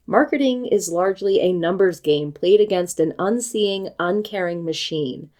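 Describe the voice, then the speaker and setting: An American woman reading a scripted book review video essay in a measured, articulate and critical manner. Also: mid-range voice, darkish tone. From United States.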